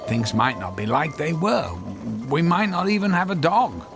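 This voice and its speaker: An actor in a radio drama playing a meek, apologetic inventor husband who stammers and speaks haltingly. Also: male voice, on the high side, animated, over music. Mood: apologetic, uneasy.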